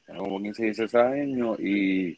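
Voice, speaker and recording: Laid-back young Puerto Rican man, low voice. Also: a little fast, a little mellow. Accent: Puerto Rican Spanish. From Puerto Rico. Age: 20s. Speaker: baseball pitcher guest. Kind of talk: casual interview chat.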